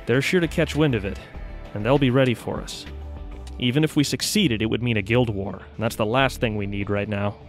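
A determined guild mage played by a man schemes aloud in an audio drama. His pitch is medium, with faint music behind him. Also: a little fast, natural voice. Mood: grave.

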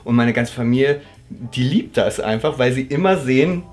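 A man in his thirties from Germany hosting a relaxed question-and-answer chat in a living room, talking casually and laughing often. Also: mid-range voice, normal speed, faint music behind. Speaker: host.

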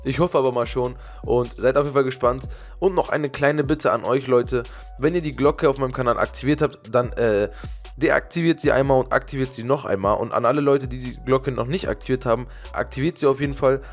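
A young man with a cold-roughened, lowered voice chatting casually to his viewers over gameplay, with frequent fillers. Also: soft background music. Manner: fast, chatty, many fillers. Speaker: gaming YouTuber.

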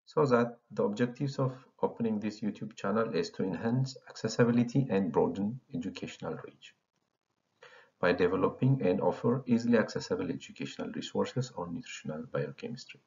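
A man's voice: lowish voice, fairly quick, gravelly, a little mellow. A man from Ethiopia in his thirties, founder of an educational channel, reading a slide presentation in a formal lecturing tone. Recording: dull lo-fi voice recording.

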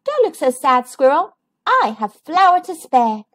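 A woman plays a kindly female porcupine from a storybook. The voice is high and sing-song, swinging up brightly with eager generosity. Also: very animated, measured pace. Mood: eager, friendly.

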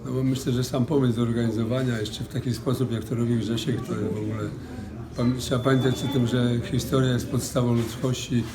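A man's voice: medium pitch, little pitch movement, a little fast. A middle-aged or older man giving an informal interview. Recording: echoey room with crowd chatter.

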